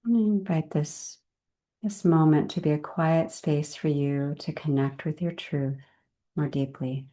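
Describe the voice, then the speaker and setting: A woman leading a guided meditation in a gentle, unhurried way, with measured phrases and long pauses. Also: deep voice, fairly even intonation.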